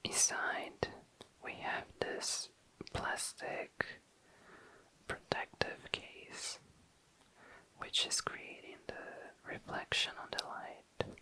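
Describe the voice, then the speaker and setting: A young adult ASMR host whispering casual, tentative commentary, often self-correcting in an unhurried way. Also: sharp tone, low-quality recording. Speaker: host of an ASMR makeup video. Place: indoors, tabletop close-up video.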